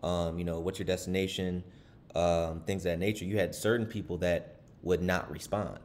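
A man with a very low voice talks loosely over his screen, circling back on his words with lots of fillers. He stops now and then to read passages aloud from a web page. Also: fairly even intonation, moderate pace, a little mellow, a little hiss or hum. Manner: rambling, many fillers and restarts, reads aloud from a web page. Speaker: commentator. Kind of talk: casual screen-share commentary.

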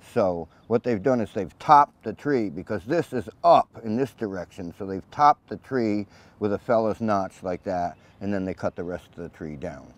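An older man, a shipwright, explaining chainsaw work step by step outdoors in a plainspoken, practical way, recorded on a lapel mic. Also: on the low side. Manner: plainspoken, practical, folksy asides. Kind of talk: hands-on instructional explanation. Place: outdoors in a grassy yard by a mill.

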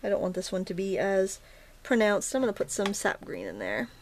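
Slightly hoarse woman teaching watercolor, gravelly. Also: medium pitch, fairly quick, a little hiss or hum. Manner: chatty, self-interrupting, frequent ums and restarts. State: slightly hoarse, morning froggy throat. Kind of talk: casual painting tutorial narration. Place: indoors at a painting table.

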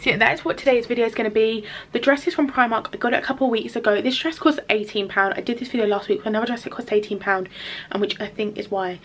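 A woman's voice: on the high side, steady tone, fast talker, fairly bright tone. A young woman chatting frankly to camera in her bedroom about a dress she is trying on, filler-heavy and casual. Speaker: fashion vlogger. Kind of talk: casual try-on chat to camera. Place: small bedroom at home. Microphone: camera mic at arm's length.

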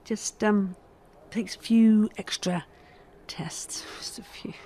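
An actress playing a forensic scientist in her forties, who talks haltingly with many fillers and restarts. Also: mid-range voice, steady tone, warm tone, in a whisper. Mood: hesitant. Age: 40s. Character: guarded mid-forties woman forensic scientist. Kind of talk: audio drama acting.

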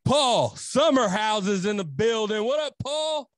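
A man's voice: sits high, unhurried, no room sound. A man, hosting a casual podcast from a studio. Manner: hyped, animated introductions. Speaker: podcast host. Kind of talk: casual chat. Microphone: studio podcast mic.

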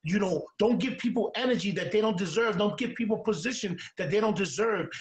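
A man's voice: high voice, brisk, reverberant. A man with a Castilian Spanish accent, a streamer guest on a video call, giving punchy motivational talk that repeats its slogans. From Cuban, Haitian and Ecuadorian family.

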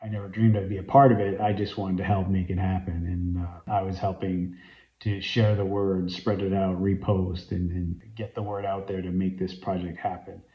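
Deep-voiced Canadian man talking to his phone. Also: flat delivery, normal speed. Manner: earnest and enthusiastic, with frequent ums and uhs. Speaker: fan site founder and interviewee. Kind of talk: casual interview talk to camera. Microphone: phone camera at arm's length. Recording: roomy, slightly dull phone audio.

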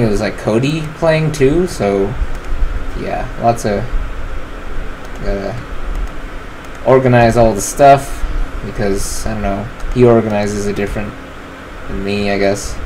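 A man's voice: on the low side, a little hiss or hum, rough recording. A man of twenty chatting casually over his game, rambling with lots of fillers as he decides what to craft. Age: 20s. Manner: rambling, filler-heavy, relaxed. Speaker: gaming channel host. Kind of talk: casual gameplay commentary.

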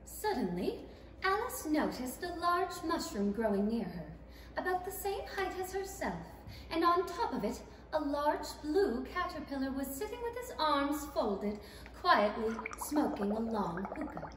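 A woman giving expressive, theatrical storybook narration for a radio play. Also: high voice, a little slow, full character voice. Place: theatre stage, reading from script. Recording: echoey hall, distant, faint noise.

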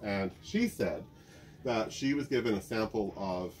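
A man curator chatting casually about clothes on a rack, often pausing to search for names, recorded by a camera in a museum basement storage room. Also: on the low side, slight background noise, rough recording. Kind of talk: casual show-and-tell chat.

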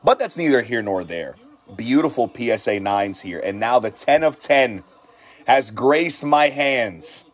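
A man's voice: mid-range voice, expressive, measured pace. Guy hosting a card reveal from his shop, all hyped up, keeps saying he loves it. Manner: hyped, repeats himself. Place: shop desk indoors.

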